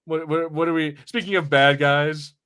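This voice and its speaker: A man's voice: on the high side, steady tone, moderate pace, fairly bright tone, clean tone. A man co-hosting a podcast with casual, conversational banter about pop culture. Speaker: podcast co-host.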